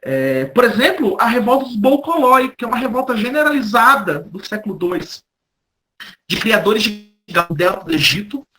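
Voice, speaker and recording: A Brazilian man giving an off-the-cuff academic lecture as a professor, halting and often repeating or rephrasing his words. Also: high-pitched, very animated, bright, forceful tone. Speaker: history professor, guest lecturer.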